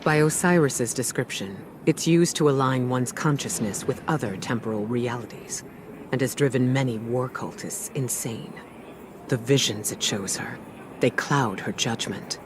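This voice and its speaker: An actor playing a grave, weary male military commander in a game cutscene, speaking in a measured, solemn and deliberate way. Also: mid-range voice, background noise, lightly acted. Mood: concerned. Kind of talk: scripted game cutscene narration.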